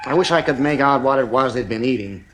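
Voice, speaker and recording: A man's voice: medium pitch, quick pace, noisy background, lightly acted. An actor playing a worried, defensive father in a 1950s radio drama, earnest and strained. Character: worried, defensive father, anxious about his children.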